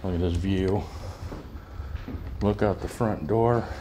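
A man's voice: deep voice, slow pace, noisy background. A man vlogging while he explores an abandoned lakeside house, talking in short, awed exclamations as he goes from room to room. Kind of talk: hushed exploring commentary.

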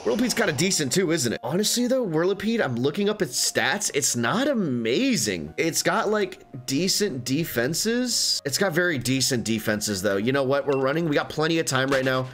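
A man's voice: fairly high pitch, very animated, moderate pace, hardly any pauses, a bit bright, faint music behind. A man hosting a gaming video, chatting casually and thinking aloud as he plays. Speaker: gaming YouTuber, channel host. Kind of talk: casual gameplay commentary.

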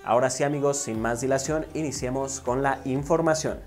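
A man's voice: mid-range voice, a little fast. A man in his thirties narrating a scripted football countdown as an online video host, with emphatic presenter-like delivery. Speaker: YouTube host. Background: background music.

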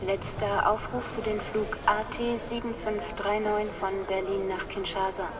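An actress playing a formal airport gate announcer in a radio drama, measured in delivery. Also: female voice, medium pitch, level tone, lightly acted. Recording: distant airport announcement, busy crowd.

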